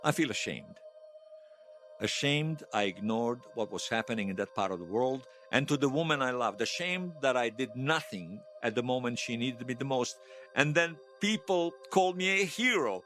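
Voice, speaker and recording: A man's voice: mid-range voice, lively intonation, moderate pace, a bit bright, faint music behind, lightly acted. An actor playing a regretful, bitter man who is hailed as a hero, confessing his shame in an audio drama. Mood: bitter, ashamed. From Bosnia. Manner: confessional, self-reproaching. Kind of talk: fiction podcast drama acting.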